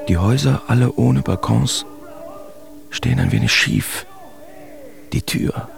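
An actor playing a German artist who tells of his absurd nightmare in a wry, uneasy way, as theatrical first-person radio drama narration with a gravelly voice. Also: lowish voice. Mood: brooding, mysterious. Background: music behind the voice.

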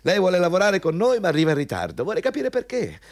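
A man's voice: sits high, huge pitch swings, fast talker. An actor playing a pompous, overbearing male company boss in a radio drama, hectoring and self-important. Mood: pompous, needling. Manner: pompous, hectoring, switching between scolding and ingratiating. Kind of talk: Italian radio drama acting.

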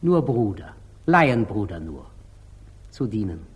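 Meek, obedient monk, very slow and deliberate, rough-voiced, old radio-play acting. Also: male voice, on the low side, big pitch swings, exaggerated voice. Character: humble, artless, obedient monastery lay brother. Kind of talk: 1940s German radio stage acting.

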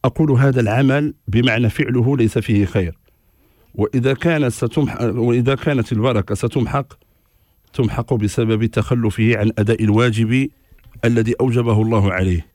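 A man as a religious scholar on a radio call-in show, giving formal rulings in a measured, authoritative way and citing scripture and scholars. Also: mid-range voice, darkish tone, no room sound, clean studio sound. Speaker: religious scholar answering questions.

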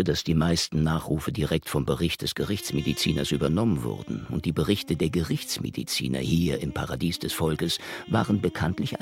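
Very deep, gravelly noir detective narrator. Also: male voice, exaggerated voice. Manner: wry, brooding, deliberate. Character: world-weary, cynical male detective telling his own case. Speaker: actor narrating a radio drama. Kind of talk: first-person noir narration. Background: music.

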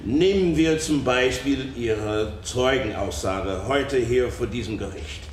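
A man's voice: medium pitch, takes it slowly, natural voice. A man acting an insistent, sardonic lawyer questioning a witness in a radio docudrama. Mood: pointed, probing. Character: probing, sardonic lawyer cross-examining a witness. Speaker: courtroom examiner questioning a witness. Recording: roomy echo, faint background noise.

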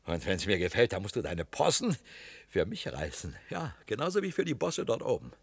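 An actor in a radio play playing a coarse, domineering cellar-dweller with a rough voice and a blustering, sneering manner. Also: male voice, on the low side, big pitch swings, a little fast, dry recording, heavily acted. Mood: gloating, domineering.

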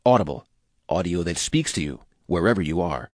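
Male announcer for sponsor tag, deep register, scripted promotional read. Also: very animated, a little fast.

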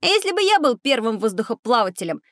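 A woman playing a curious, boastful young schoolboy in a children's educational audio drama, speaking in an eager, expressive way. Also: fairly high pitch, fast, no room sound, clean studio sound.

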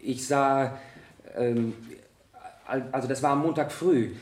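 A man playing a young student witness in a radio courtroom drama, halting and stammering yet insistent. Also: mid-range voice, a little mellow, close to an everyday voice. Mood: hesitant, earnest. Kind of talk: radio play courtroom dialogue. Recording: roomy 1970 radio drama studio.

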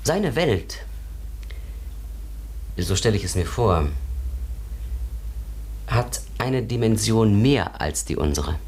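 Philosophical male companion, lowish pitch, deliberate and contemplative, literary radio drama. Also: very animated, darkish tone, slight background noise, exaggerated voice. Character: philosophical man pondering another world, thoughtful companion.

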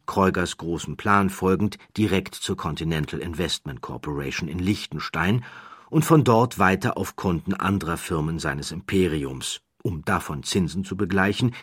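A man with a standard German accent narrating a scripted documentary story in measured, deliberate phrases, with dramatic emphasis and a wry undertone. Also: deep voice, husky, no echo, clean studio sound.

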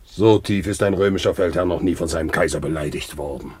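An actor playing an indignant, bombastic Roman commander in a radio play, declaiming gravely. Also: male voice, low voice, fairly quick, heavy background noise, close to an everyday voice. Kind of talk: German radio play acting.

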